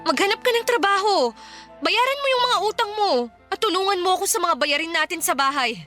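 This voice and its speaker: High, bright exasperated girlfriend. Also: animated, fairly quick, soft background music, full character voice. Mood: firm, pained. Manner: nagging, reproachful. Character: exasperated, hardworking girlfriend, practical and fed up. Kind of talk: radio drama acting in Filipino love story.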